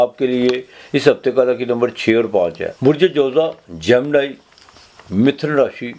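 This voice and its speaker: Man, astrologer, rather low voice, loose off-the-cuff forecast, lots of fillers. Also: warm tone. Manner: unscripted, frequent fillers and restarts. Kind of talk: weekly horoscope reading.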